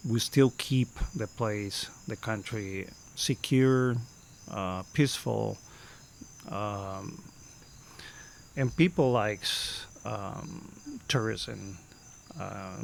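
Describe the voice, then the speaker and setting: A man in his fifties from Costa Rica with a Costa Rican Spanish accent, telling stories as a podcast guest and often pausing to search for words. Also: fairly low pitch, vocal fry, a little hiss or hum. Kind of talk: casual storytelling.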